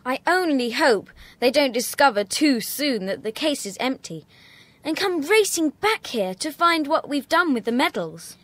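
A woman's voice: sits high, very animated, a little slow, no echo. A woman playing a worried, kind-hearted young child with an English accent in a children's audio drama. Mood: puzzled, worried.

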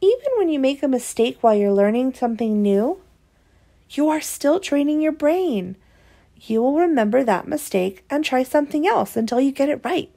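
High-voiced woman reading to children. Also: moderate pace, gravelly. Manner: expressive, explanatory, addressing young children. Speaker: read-aloud presenter. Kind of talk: reading a children's picture book aloud.

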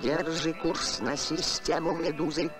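A man voicing a talking bird that solemnly repeats the words of brave space captains, in an old animated film. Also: fairly high pitch, unhurried, over loud music, lightly acted. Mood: commanding. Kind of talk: Soviet animated film acting.

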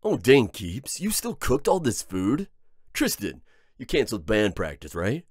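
A man's voice: lowish voice, huge pitch swings, unhurried, husky, no echo, clean studio sound, full character voice. A man playing a brash, macho himbo horseman of war in a comedy roleplay, crude and dude-ish, easily flustered. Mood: surprised. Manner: dude-ish, crude, stumbling when emotional. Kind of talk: boyfriend ASMR comedy roleplay.

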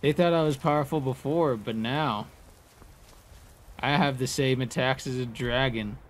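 A man's voice: medium pitch, measured pace. A man giving casual, informal and sweary commentary as he plays a fantasy video game. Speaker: gaming video creator. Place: indoor room, webcam gaming setup. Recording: noisy, game sounds behind voice.

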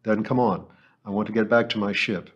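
A male audiobook narrator voicing a gruff, blunt middle-aged ship captain. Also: on the low side, steady tone, fairly quick, dull audio. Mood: brusque.

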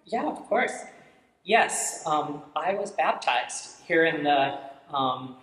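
Slow-talking middle-aged man in an echoey church. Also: fairly high pitch, lively intonation, a bit bright. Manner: slow, halting, thoughtful. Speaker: parishioner and father. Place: large church sanctuary. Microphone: handheld microphone.

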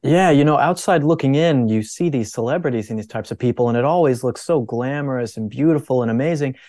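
A man's voice: medium pitch, fast, dark tone, no room sound, clean studio sound. A young American man giving conversational opinion commentary as a guest on a talk show. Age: young adult. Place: book-lined room indoors.

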